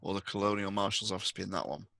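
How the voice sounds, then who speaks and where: Low, bright-toned male game master. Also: fast talker, vocal fry, dry recording. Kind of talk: casual tabletop game refereeing.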